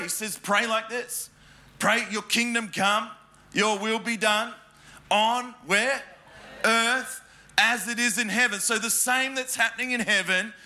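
A man's voice: high-pitched, bright, forceful tone. An Australian man preaching a sermon in church, emphatic and joking with the congregation, often asking them questions. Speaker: pastor. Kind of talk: sermon with personal stories. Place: church hall before a congregation.

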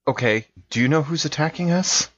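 A man's voice: fairly high pitch, a little fast, a bit bright. A man playing a tabletop roleplaying game, speaking casually in character on slightly muffled audio.

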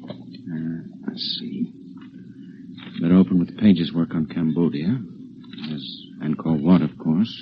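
An actor playing a harried, meek professor husband in 1940s radio drama, hesitant and apologetic. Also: male voice, extremely low pitch, fairly even intonation, slow pace, long pauses. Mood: harried, pleading. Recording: dull, faintly noisy 1940s radio recording.

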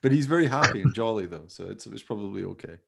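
A man with a creaky voice chatting casually as a host about making radio drama. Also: on the low side, expressive, fast, heavy background noise. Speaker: podcast host, radio play maker. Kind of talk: casual behind-the-scenes chat.

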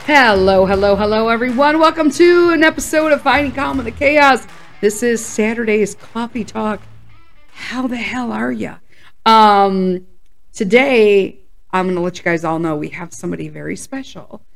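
A woman in her fifties from Chicago, hosting a casual solo podcast chat. She is slightly hoarse and congested, and she talks candidly with bursts of excited whoops. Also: on the high side, normal speed, soft background music. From Chicago, USA. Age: 50s. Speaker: podcast host.

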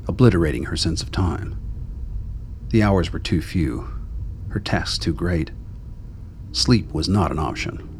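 A man narrating a radio play in a measured, solemn storytelling manner. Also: low-pitched, a little hiss or hum.

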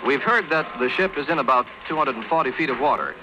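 A man's voice: medium pitch, animated, fast talker, lo-fi sound, over music. A man reporting and interviewing in the formal style of 1930s radio news. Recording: noisy 1930s radio broadcast.

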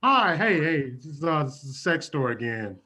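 Woman as low, lively, nosy shop caller. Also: moderate pace, crowd noise. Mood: prying. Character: nosy sex shop caller monitoring phone calls. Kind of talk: improvised podcast comedy.

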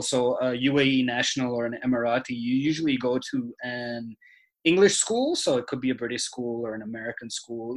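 Young man, from dubai, podcast guest, measured and thoughtful, mid-pitched. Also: poor audio. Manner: thoughtful, measured, with frequent fillers. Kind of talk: conversational interview.

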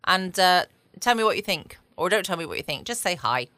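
A woman's voice: fairly low pitch, animated, brisk, sharp tone, no echo. An English woman hosting a podcast from home, chatting casually to her listeners. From United Kingdom. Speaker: podcast host. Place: at home, dry close recording.

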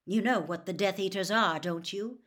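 A woman voicing a troubled adult male wizard who gives earnest, hurried warnings in a storybook reading. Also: medium pitch, fairly bright tone, pitched above the performer's own voice, lightly acted. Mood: urgent.

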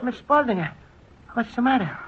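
An Italian immigrant, very high voice, broken English, earnest, old radio comedy, dull sound. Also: male voice, lively intonation, normal speed, breathy edge, exaggerated voice. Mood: pleading. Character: earnest Italian immigrant man, warm and naive. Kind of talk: 1950s radio comedy acting.

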